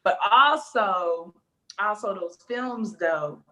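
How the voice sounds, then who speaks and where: A young woman co-hosting a casual live stream, playful and animated, laughing often as she reads viewer comments. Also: mid-range voice, takes it slowly, low-quality recording. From United States. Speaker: co-host.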